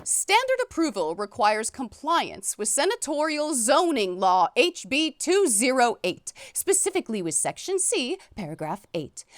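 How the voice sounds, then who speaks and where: A woman voicing a fussy, officious, easily scandalized female inspector in an animated comic dub. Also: a little high, no echo, studio quality. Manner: officious, pedantic, flustered outbursts. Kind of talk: animated fandub voice acting.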